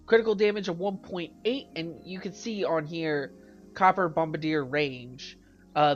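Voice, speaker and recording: A man talks through a survival game with a congested, stuffy voice, as if he has a cold. He wanders through numbers and stats in an easygoing way and often corrects himself mid-sentence. Quiet game music plays in the background. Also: on the high side, dull audio. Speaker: let's play host. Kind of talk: casual gameplay commentary.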